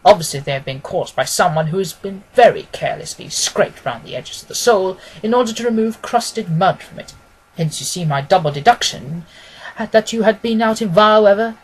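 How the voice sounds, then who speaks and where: A man voicing a self-assured male detective in an audiobook, keenly observant and gently mocking, with an emphatic, didactic manner. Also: high-pitched, slightly rough, exaggerated voice, low-quality recording. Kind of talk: dramatised audiobook reading.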